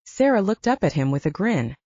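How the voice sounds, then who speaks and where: A woman reading an audiobook, narrating fiction and its dialogue in an expressive manner. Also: mid-range voice, brisk, husky, no room sound, highs cut off.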